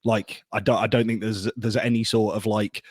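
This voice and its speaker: A man's voice: fairly low pitch, little pitch movement, normal speed, breathy edge. A man appearing as a guest fan, talking hesitantly and searching for his words in a casual chat.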